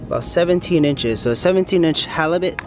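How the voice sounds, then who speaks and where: A man's voice: on the high side, fast talker. A man in his thirties, presenting an instructional vlog in a casual, step-by-step manner. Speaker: fishing vlogger presenting a tutorial. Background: background music.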